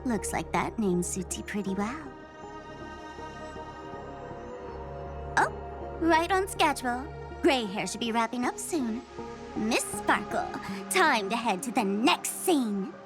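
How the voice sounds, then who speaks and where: A woman's voice: fairly high pitch, sing-song, unhurried. A woman voicing a mischievous, taunting young female trickster in a dramatic video game performance, with a mocking, theatrical delivery. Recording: loud music behind the voice. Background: loud background music.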